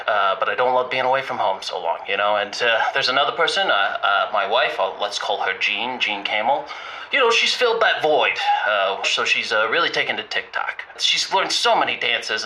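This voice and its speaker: A man playing an anxious, sheepish oil-rig worker calling a comedy advice show, halting and rambling nervously. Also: lowish voice, bright, forceful tone, heavily acted, low-quality recording. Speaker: caller on a comedy call-in show. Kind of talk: improvised comedy phone-in.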